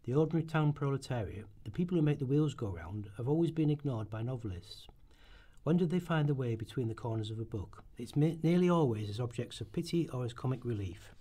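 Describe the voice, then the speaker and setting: A man's voice: medium pitch, animated, brisk, warm tone. A man reading scripted narration aloud for a radio play.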